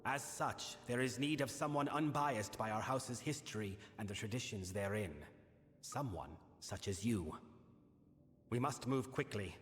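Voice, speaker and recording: A male actor voicing a grave, burdened fae king in a fantasy game, speaking formally and in a measured, solemn manner. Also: mid-range voice, husky. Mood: stern, formal. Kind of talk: scripted fantasy game dialogue. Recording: long reverberant hall, music behind. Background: music.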